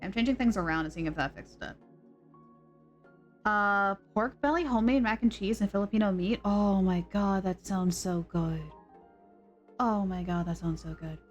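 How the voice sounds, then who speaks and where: A woman streaming a game, chatting casually and rambling as she thinks out loud. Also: mid-range voice, normal speed, dull audio, faint music behind. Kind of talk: casual live-stream chat.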